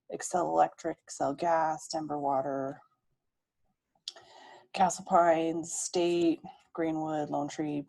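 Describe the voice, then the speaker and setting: A woman's voice: low voice, gravelly, mellow. A woman dispatcher explaining the job and workstation in a conversational, matter-of-fact way. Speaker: emergency dispatcher.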